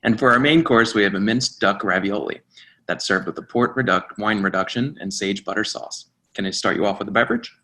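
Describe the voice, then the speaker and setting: A polite restaurant waiter played by a male actor in a staged reading. He is formal and careful in his delivery. The voice is fairly low but bright and crisp-edged. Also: brisk. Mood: courteous. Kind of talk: staged readers theatre reading.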